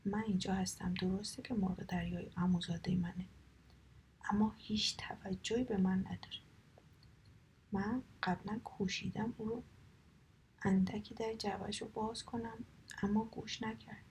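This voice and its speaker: A woman's voice: mid-range voice, fairly even intonation, long pauses, slight background noise, natural voice, low-quality recording. Woman whispering as a sly trickster raven. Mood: calm. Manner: soft whispered telling.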